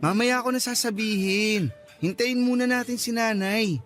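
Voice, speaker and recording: Very high-pitched, eager Filipino boyfriend. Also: male voice, normal speed, fairly bright tone, faint music behind. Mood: casual, cheerful. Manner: playful, eager. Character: young boyfriend and son, teasing, affectionate, eager. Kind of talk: radio drama acting.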